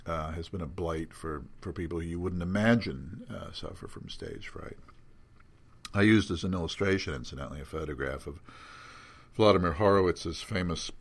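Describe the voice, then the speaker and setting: An older man talking off the cuff about literary matters in erudite wording, halting often with ums and self-corrections. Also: deep voice, husky. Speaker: podcast guest editor previewing magazine contents. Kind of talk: unscripted literary talk.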